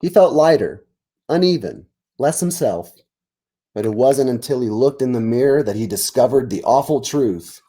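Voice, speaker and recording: A man's voice: mid-range voice, expressive, normal speed, dark tone. A man, an author, reading aloud and explaining words informally to young listeners at a children's story time. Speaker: author reading own book.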